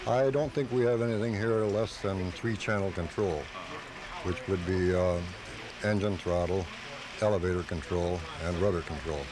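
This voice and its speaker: Male club member interviewed on radio, low pitch, measured and hesitant, busy outdoor crowd behind. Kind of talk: explanatory live radio interview.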